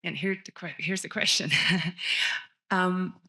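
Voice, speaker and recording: Low, gravelly-voiced woman asking a question. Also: steady tone, slow pace, bright, forceful tone. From Poland. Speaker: audience member asking a question. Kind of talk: audience question at a panel. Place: festival panel lounge.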